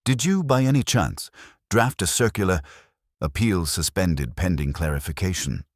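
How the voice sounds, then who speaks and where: A man as a dry, very deep-voiced detective inspector. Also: expressive, a little hiss or hum. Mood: quietly pointed. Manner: terse, measured questioning. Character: dry, probing, unemphatic male detective inspector.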